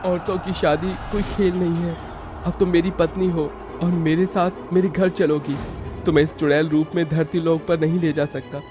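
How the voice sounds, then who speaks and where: A man's voice: on the high side, flat delivery, normal speed, over music, natural voice. A man voicing a frightened young groom who protests and is prone to stammering, in a horror-comedy cartoon. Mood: firm. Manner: stammering when scared.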